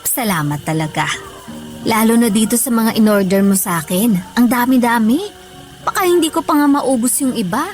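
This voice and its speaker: A woman's voice: a little high, animated, moderate pace, a little mellow, faint music behind, close to an everyday voice. A woman with a Filipino accent playing a hardworking, long-suffering older mother in a radio drama, plaintive and motherly. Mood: grateful, modest.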